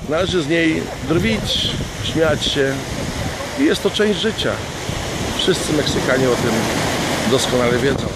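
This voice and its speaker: A man in his fifties from Poland speaking affectionately and reflectively in an informal heartfelt message to camera, recorded outdoors at arm's length. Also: fairly high pitch. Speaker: friend sending a video birthday tribute. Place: outdoors on a sea beach. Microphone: phone or camera at arm's length. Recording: loud surf and wind noise.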